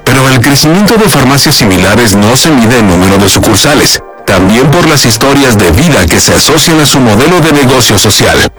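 A man reads a scripted feature report in a polished, upbeat voice of middle pitch. Faint music plays under the narration. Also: big pitch swings, brisk, rough recording. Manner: polished, upbeat promotional delivery.

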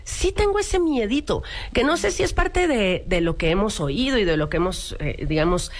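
A woman, hosting a talk show and reflecting with hesitant pauses and fillers. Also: medium pitch, expressive. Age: 40s. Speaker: host.

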